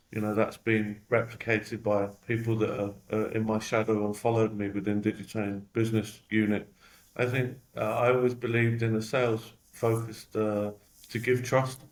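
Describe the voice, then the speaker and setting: A man's voice: medium pitch, rough recording. A man with a London accent, a company CEO answering interview questions in a candid, reflective way with frequent fillers. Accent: London English. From London, England. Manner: reflective, with frequent "um" and "you know".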